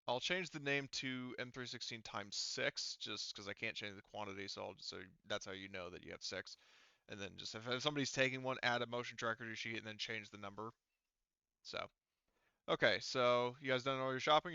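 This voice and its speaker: A man's voice: medium pitch, fairly quick, creaky, bright, forceful tone, smooth, no echo. Man, game master type. Clean, forward voice, casual and hesitant explainer, close dry lo-fi mic. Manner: hesitant, thinking aloud while explaining. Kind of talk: casual online tabletop game talk.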